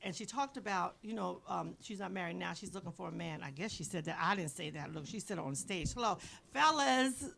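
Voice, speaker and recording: A woman from Belize hosting a live talk show, chatty and quick to laugh. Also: fairly low pitch, lively intonation, creaky, fairly bright tone. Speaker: host. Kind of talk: casual chat.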